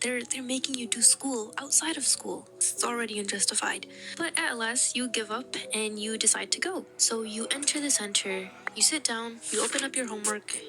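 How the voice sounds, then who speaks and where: A young adult woman telling stories to camera in a casual, animated way, with frequent laughs and fillers. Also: fairly high pitch. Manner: animated and giggly, with many fillers. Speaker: vlogger. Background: background music.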